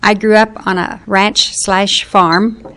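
A woman's voice: medium pitch, flat delivery, slow pace, a bit bright, slight background noise. Chatty older woman from Oklahoma. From western Oklahoma. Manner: chatty, searching for words. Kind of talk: reminiscing in an interview.

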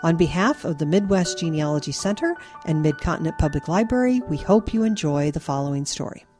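Mellow woman reading a scripted intro. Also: deep voice, lively intonation, a little fast, faint music behind. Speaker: program announcer. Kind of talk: scripted announcement.

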